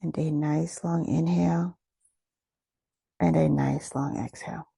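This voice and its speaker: A woman leading a guided meditation, giving gentle, soothing instructions with unhurried phrasing and pauses. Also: low voice, fairly even intonation, gravelly, slightly rough, dry recording.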